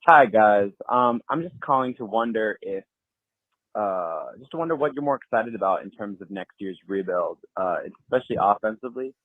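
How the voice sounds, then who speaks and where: A man's voice: lowish voice, expressive, normal speed, no echo. Muffled voicemail from a male caller. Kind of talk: casual listener question. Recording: dull lo-fi voicemail message.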